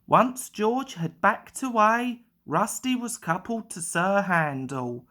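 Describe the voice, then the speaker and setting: A woman's voice: medium pitch, lively intonation, slow pace, fairly bright tone. A woman reading a scripted children's story aloud as narrator.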